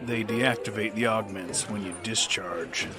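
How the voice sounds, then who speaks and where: A man voicing a haunted veteran gunslinger who narrates his own tale, gruff and sardonic, in a western fantasy audio drama. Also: lowish voice, steady tone, a little slow, loud music behind the voice, lightly acted. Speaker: narrator and lead.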